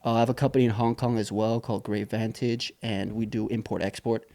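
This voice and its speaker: A man's voice: on the low side, brisk. A young man from Saipan, a business owner, telling his story in a casual interview with loose, rambling talk full of fillers. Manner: relaxed, rambling, many fillers and restarts. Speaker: entrepreneur guest.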